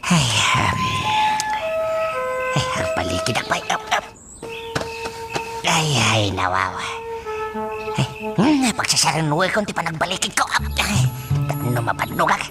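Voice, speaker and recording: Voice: mid-range voice, huge pitch swings, measured pace, pauses often, over loud music. An actor in an Ilocano radio drama playing a restless, lovesick person lying awake and muttering with sighs and moans, over a noisy track with clicks and insects. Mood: restless, exasperated. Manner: sighing, moaning, exclamatory muttering.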